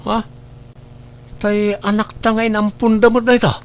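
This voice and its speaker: A man's voice: sits high, flat delivery, moderate pace. A man with an Ilocano accent playing a hot-tempered, quarrelsome gambling husband in a family drama. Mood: irritated, surprised. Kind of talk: Ilocano radio-style family drama acting.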